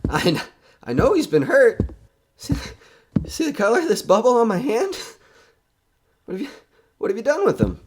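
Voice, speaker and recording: A man playing a nervous radio reporter who is coming unravelled in a horror audio drama, talking in a halting, rambling way. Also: very high-pitched, wildly swooping pitch, background noise, heavily acted. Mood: manic hysteria.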